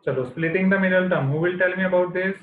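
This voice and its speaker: A man's voice: high-pitched, fast talker. A male maths teacher with an Indian accent teaching an online class, mixing in Hindi and checking in with students often. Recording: echoey tinny online class audio.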